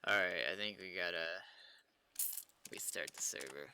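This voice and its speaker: American man, low-pitched, very bright and forceful, casual streamer chat, hesitant rambling phrasing. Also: normal speed, slight background noise. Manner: hesitant, rambling, loosely worded. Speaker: game developer live-streaming.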